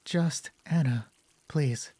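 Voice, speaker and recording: Defensive wife and career woman, read by a man, curt and guarded, husky, dry sound, audio drama. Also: on the high side, extremely slow, mellow, dry recording, heavily acted. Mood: distracted, subdued.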